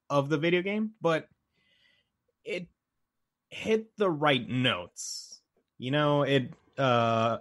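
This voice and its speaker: Blunt man chatting casually, medium pitch. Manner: blunt, profane. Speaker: podcast co-host. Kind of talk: casual chat about film and game adaptations.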